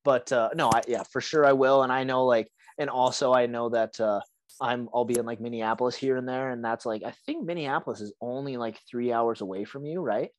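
Raspy young man hosting a podcast. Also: medium pitch, a little fast, no echo. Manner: rambling, full of "like" and restarts. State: sick, hoarse. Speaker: podcast host. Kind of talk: casual chat.

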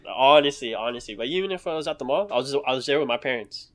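A man's voice: medium pitch, expressive, quick pace, fairly bright tone. Laid-back young man telling stories. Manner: laid-back slang, laughs through stories. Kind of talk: casual storytelling chat.

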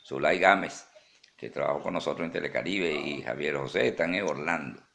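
Very low male voice, Venezuelan accent, interview host, informal, chatty, halting delivery. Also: vocal fry, fairly bright tone. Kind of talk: live conversational interview.

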